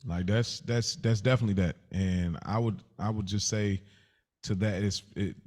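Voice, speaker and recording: A man's voice: fairly low pitch, steady tone, normal speed, a little mellow. An American man co-hosting a podcast, chatting casually and reflectively, slangy and affirming as he circles around his point. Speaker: podcast co-host.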